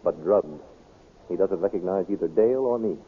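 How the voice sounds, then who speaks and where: A captive officer, with a fairly deep, rough-edged voice. The delivery is dramatic old radio serial, and the recording is thin and boxy. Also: male voice, a little fast, phone-quality sound. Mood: anxious, bitter. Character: captive male captain slaving in an enemy palace kitchen. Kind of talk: 1930s radio acting.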